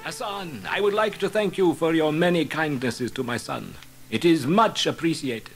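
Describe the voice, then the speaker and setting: An actor playing a hospitable, insistent master of a wealthy house, declaiming in old-fashioned formal style in a children's audio story. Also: male voice, on the high side, moderate pace, faint music behind, lightly acted. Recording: vintage cassette tape.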